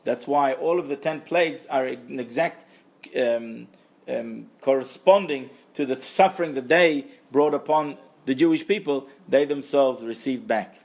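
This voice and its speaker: A man's voice: medium pitch, measured pace, creaky, darkish tone. A man giving a Torah lecture as a religious teacher, speaking emphatically and repeating phrases for stress. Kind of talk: Torah lecture to a live group.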